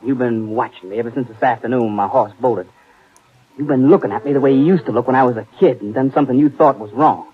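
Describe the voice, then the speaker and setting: A man's voice: mid-range voice, quick pace, lightly acted. An actor playing a sulky, defensive young man who resents his older brother and answers sullenly. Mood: resentful. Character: sulky, defensive young adult male drifter. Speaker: younger brother, reluctant cowhand. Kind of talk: 1950s radio drama acting. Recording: tinny lo-fi old radio broadcast. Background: music.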